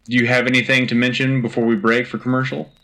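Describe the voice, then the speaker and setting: A man's voice: medium pitch, little pitch movement, fast, bright, forceful tone. A man playing an earnest, rousing war news anchor on a sci-fi radio show, with dramatic, punchy delivery. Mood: grave, urgent.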